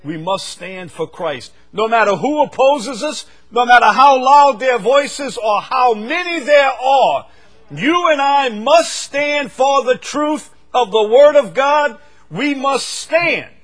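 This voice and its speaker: An older man from the United States preaching a sermon, swinging from loud, fervent stretches to quiet asides. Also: very high voice, expressive, slow pace.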